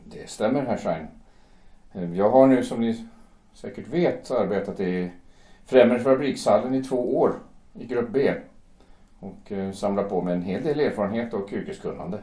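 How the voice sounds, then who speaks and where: An actor playing an earnest young shipyard worker who speaks politely and deferentially, stumbling over words. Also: male voice, lowish voice, warm tone, close to an everyday voice. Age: young adult. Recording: roomy echo.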